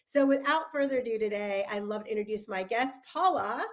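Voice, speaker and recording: A woman's voice: on the high side, fairly quick. A woman hosting a podcast, bubbly and enthusiastic, laughing easily as she introduces and chats with her guest. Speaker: podcast host. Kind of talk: casual podcast interview.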